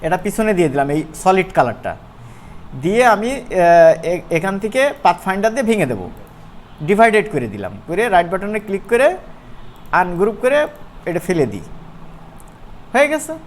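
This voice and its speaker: A man gives a casual, chatty software tutorial in an informal, colloquial way, often checking in with his listeners. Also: sits high, sing-song, moderate pace, pauses often. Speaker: animation course instructor. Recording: clear background noise.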